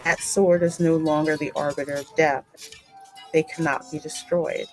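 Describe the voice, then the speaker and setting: A woman hosting a game playthrough, reading the story in a deliberate, dramatic way with casual asides. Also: low-pitched, level tone, a little mellow, faint music behind, rough recording. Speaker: gaming channel host and narrator.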